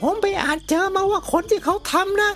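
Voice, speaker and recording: A squeaky-high little schoolboy from a cartoon comedy. He is cheeky, naive and rambles earnestly, with music playing underneath. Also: a little fast. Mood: puzzled, earnest. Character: cheeky, naive schoolboy grandson, eager and chatty.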